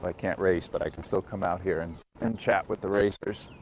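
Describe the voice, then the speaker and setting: A man hosting a live bike race broadcast outdoors, chatting in a relaxed, halting way with many fillers. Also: low voice, slight background noise. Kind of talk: live trackside chat.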